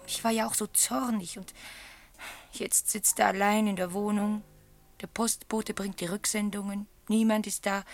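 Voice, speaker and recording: An actress playing a sorrowful, anxious young wife in a radio play, hesitant and plaintive. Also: female voice, medium pitch, lightly acted. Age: young adult.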